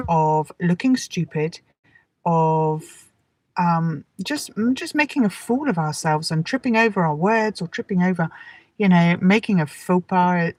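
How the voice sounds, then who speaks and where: A middle-aged woman with an English accent teaching in a relaxed, chatty and encouraging way, speaking directly to her listeners. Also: lowish voice. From England. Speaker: English teacher hosting a live lesson. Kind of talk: relaxed, chatty livestream talk with personal anecdotes. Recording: roomy echo, distant from the mic.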